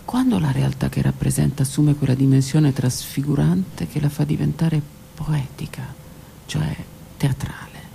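A middle-aged actress delivers a stage monologue, dropping into hushed whispers and rising into loud, declaimed passages. Her voice carries a gravelly edge, with a faint hiss in the background. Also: low voice, normal speed. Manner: dramatic, swings from hushed whispers to raised declaiming. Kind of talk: theatrical monologue. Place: radio studio.

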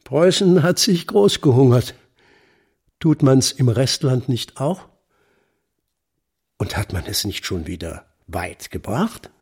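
Very husky, pompous older nationalist mayor. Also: male voice, mid-range voice, lively intonation, slow pace, pauses often, darkish tone, heavily acted. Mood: blustering self-righteousness. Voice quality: gravelly, husky. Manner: pompous, officious, declamatory. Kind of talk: German literary radio play.

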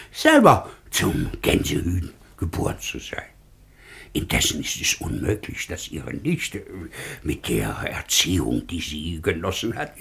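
A pompous older cleric in a radio play. His husky voice creaks and swoops wildly as he weighs out every self-important phrase. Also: lowish voice, a bit bright, heavily acted. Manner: pompous, halting, sententious. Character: fussy, self-important cleric tutor serving a baron. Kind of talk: theatrical radio play acting.

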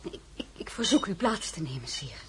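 A woman playing a composed, plain-spoken highborn lady in a radio drama, formal and courteous. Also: big pitch swings, moderate pace, a bit bright, a little hiss or hum. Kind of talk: Dutch-language radio drama acting.

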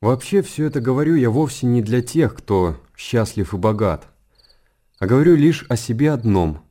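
A man's voice: mid-range voice, expressive, normal speed, warm tone, close to an everyday voice. A man playing a contemplative hermit monk who lives in seclusion, delivering a literary radio drama monologue. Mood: wistful. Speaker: narrator in a radio play.

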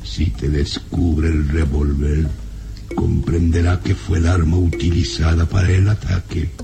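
An actor playing a cruel, domineering older baron in a radio serial, speaking with theatrical contempt. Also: male voice, a bass voice, level tone, measured pace, creaky, loud music behind the voice, exaggerated voice. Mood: commanding, sly. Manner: contemptuous, taunting, imperious.

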